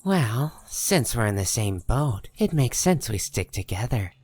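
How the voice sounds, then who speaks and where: A man playing a cynical, hard-bitten dead man who narrates a comic dub in a husky, world-weary, folksy way. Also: mid-range voice, sing-song, unhurried, a little mellow. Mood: sardonic, wary.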